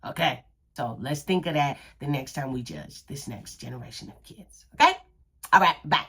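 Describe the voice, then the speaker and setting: A woman's voice: very deep voice, lively intonation, normal speed, noisy background. A woman in her thirties giving a casual opinion monologue, speaking emphatically and a bit preachy. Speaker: commentary vlogger.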